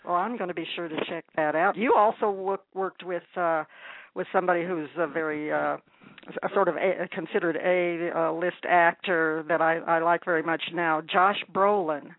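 Male radio host, high voice, hesitant delivery, dry phone-like audio. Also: steady tone, dry recording. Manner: halting, many restarts and fillers. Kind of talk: radio interview. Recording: tinny phone-line sound.

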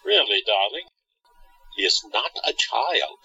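Mystical hypnotist, male actor, radio drama. Very forceful, deliberate, dry lo-fi sound. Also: mid-range voice, very animated, husky, dry recording, heavily acted. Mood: wry, exasperated. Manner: deliberate, commanding. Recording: dull lo-fi, close and dry.

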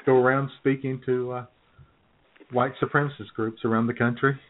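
A man's voice: medium pitch, flat delivery, normal speed. A man co-hosting an internet radio show with casual comedic banter, joking and often laughing as he talks. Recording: thin phone-like internet radio audio.

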